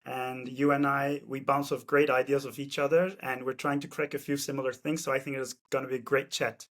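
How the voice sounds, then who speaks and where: A man's voice: medium pitch, little pitch movement, a little fast, fairly bright tone. Friendly man hosting a podcast. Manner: friendly and conversational, asks easy questions. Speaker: podcast host. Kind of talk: podcast interview.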